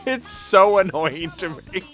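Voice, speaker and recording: A man's voice: high voice, lively intonation, unhurried, a bit bright, soft background music. A man livestreaming in a casual, blunt, sweary way as he reacts to videos. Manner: blunt, sweary, mock-exasperated. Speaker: streamer.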